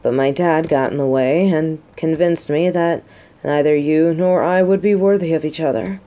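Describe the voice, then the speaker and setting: A woman playing a female military bodyguard in a romantic audio roleplay, gruff but shy and secretly lovestruck, speaking softly and haltingly. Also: low voice, fairly even intonation, lightly acted. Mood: wistful confession. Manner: halting, flustered, soft-spoken.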